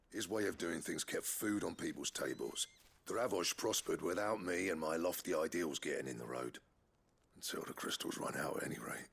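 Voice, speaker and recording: A low, heavily gravelly voice for a proud master smith in a video game. He is husky and brooding, weighed down by guilt, with an earthy gruffness and lively swings in pitch. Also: heavily acted. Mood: grave, resigned. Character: weary, proud male master smith, guilt-laden exile. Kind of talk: video game drama acting.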